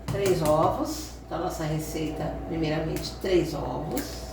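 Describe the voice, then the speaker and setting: A woman's voice: low-pitched, unhurried, gravelly, noisy background. A Brazilian woman hosting a home cooking lesson, explaining each step in a chatty way and often checking in with viewers. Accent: Brazilian Portuguese. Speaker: cooking channel host. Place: home kitchen. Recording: echoey kitchen audio from far off.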